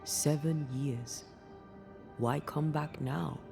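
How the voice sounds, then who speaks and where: An actress playing a composed, probing ghost hunter in a video game, measured and businesslike. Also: female voice, deep voice, steady tone, gravelly, music in the background, full character voice. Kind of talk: video game cutscene acting.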